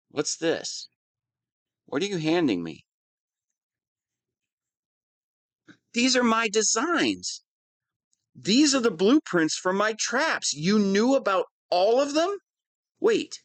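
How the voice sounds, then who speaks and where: A man's voice: a little high, no room sound. A man playing a whiny, lovestruck young wannabe villain in an audio roleplay, petulant and defensive. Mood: bewildered. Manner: petulant, defensive, swinging between sheepish mumbling and indignant outbursts. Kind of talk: scripted audio roleplay monologue.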